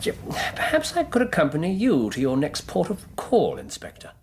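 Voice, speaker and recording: An actor playing a keen, courteous Victorian gentleman detective with an English accent, precise, theatrical and wry, in a radio drama. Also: a little high, huge pitch swings, moderate pace, vocal fry, slightly rough, exaggerated voice. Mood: reluctant, tiring.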